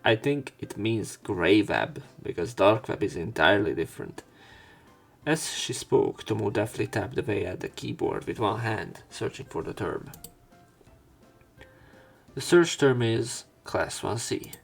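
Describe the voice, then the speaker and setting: Low, slightly gravelly man narrating a game. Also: big pitch swings, measured pace. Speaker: let's play narrator and commentator. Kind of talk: reading visual novel text aloud with casual asides. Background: faint game music.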